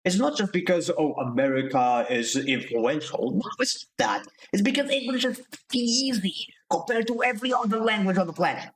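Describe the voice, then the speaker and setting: A young man, his voice sitting a little on the high side. He streams casually and gets fired up, swearing freely and stumbling over restarts as he rants. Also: animated, a little fast, hardly any pauses, slight background noise, low-quality recording. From Slovakia. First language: Slovak. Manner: heated ranting with profanity and restarts. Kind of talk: casual live-stream commentary.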